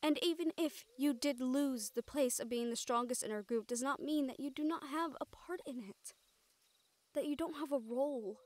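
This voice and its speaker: A woman's voice: sits high, normal speed, a little mellow, no echo, natural voice. A woman acting a caring, encouraging siren-like mentor in an intimate audio roleplay, with a tender, earnest manner. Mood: tender, reassuring.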